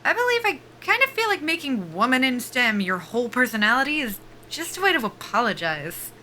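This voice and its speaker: A woman's voice: high voice, big pitch swings, fairly quick, pushed and bright, slight background noise, heavily acted. A woman acting a nervy, foul-mouthed young soldier in a sci-fi audio drama, wry and defiant in a casual, joking way. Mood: sardonic, defiant. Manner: sardonic, casual, sweary, joking under nerves.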